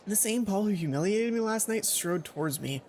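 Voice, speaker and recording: A young adult man reading a visual novel aloud as a let's play host, expressive, often correcting himself and dropping in casual commentary. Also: high-pitched, a little fast, a little hiss or hum. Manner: expressive, self-correcting reader. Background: game music.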